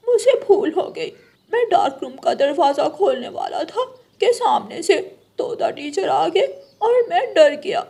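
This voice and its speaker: A woman's voice: very high voice, creaky, mellow, heavily acted. A woman voicing a naughty young boy crow in a children's moral cartoon, pleading and whiny.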